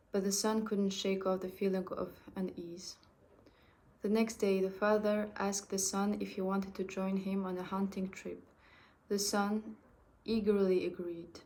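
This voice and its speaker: A young woman whose first language is French reads a story aloud in a level, flat voice. She stumbles now and then and restarts her phrases. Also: medium pitch. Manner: halting, with restarts and self-corrections.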